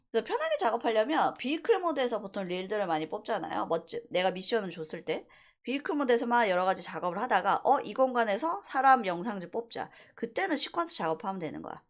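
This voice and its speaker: Lively woman teaching software casually. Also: medium pitch, fairly quick. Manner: conversational, informal mix of polite and casual speech, rhetorical check-in questions. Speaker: animation software instructor. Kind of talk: casual screen-recorded software tutorial, thinking aloud while demonstrating.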